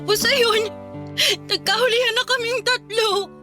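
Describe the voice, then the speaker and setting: A woman playing a heartbroken, soft-hearted daughter in her thirties, tearful, in Filipino radio drama style. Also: very high-pitched, steady tone, unhurried, over music. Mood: upset, recounting. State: crying.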